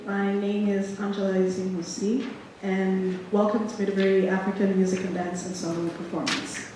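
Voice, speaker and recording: A woman's voice: medium pitch, little pitch movement, moderate pace, warm tone. A woman giving a prepared introduction to a piece as a performer on a concert stage. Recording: very echoey hall, distant mic, faint noise.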